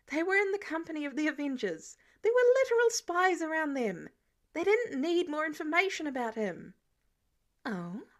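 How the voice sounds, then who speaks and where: A woman reads fiction aloud in a fairly high voice. Her delivery is scripted storytelling, expressive and shaped like an audiobook. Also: moderate pace. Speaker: fan fiction audiobook reader.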